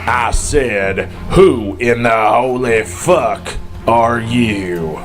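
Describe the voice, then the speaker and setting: An actor playing a big, belligerent cowboy wrestler, challenging and tough, in an audio drama. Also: male voice, mid-range voice, faint music behind. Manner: slow, foul-mouthed, challenging.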